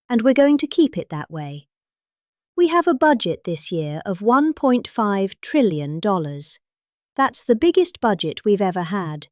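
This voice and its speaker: A woman's voice: mid-range voice, a little mellow, no room sound, clean studio sound. A middle-aged British woman giving a dramatic, satirical reading of a speech in a mock-serious, theatrically emphatic manner. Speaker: presenter reading out a politician's speech.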